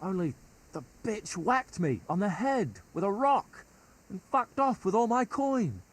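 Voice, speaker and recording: Brash young medieval scoundrel, high pitch, animated swings, coarse talk. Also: male voice, unhurried, heavily acted. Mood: aggrieved. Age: young adult. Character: cocky, foul-mouthed young medieval rogue. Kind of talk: naturalistic video game acting.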